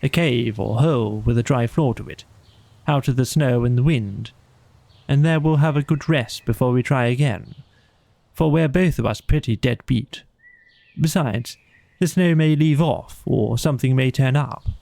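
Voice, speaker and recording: A man voicing a practical, kindly and excitable storybook rat, brisk and decisive with a colloquial way of talking. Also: medium pitch, creaky, dry recording, natural voice. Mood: determined. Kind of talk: storybook character voice.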